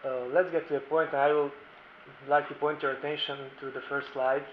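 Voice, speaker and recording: A man's voice: on the high side, flat delivery, moderate pace. A lawyer from Slovakia whose first language is Hungarian gives a formal conference presentation, keeping close to his notes and phrasing things with care. His voice carries the echo of a large conference room. The recording is dull, with a faint hiss underneath. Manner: formal, reads from notes, careful non-native phrasing.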